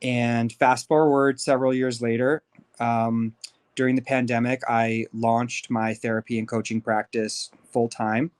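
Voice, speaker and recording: A man's voice: mid-range voice, little pitch movement, unhurried, smooth. A man of thirty from Los Angeles, a life coach who is a podcast guest, telling his life story in an upbeat, candid way. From Los Angeles, USA. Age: 30s. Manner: upbeat, candid, talks freely with fillers. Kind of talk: casual interview storytelling.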